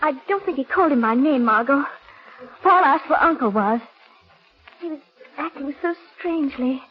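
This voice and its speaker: A woman playing a frightened, anxious young woman in 1940s radio melodrama, halting and pleading. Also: high-pitched, animated. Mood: anxious recounting. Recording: dull lo-fi 1940s radio recording.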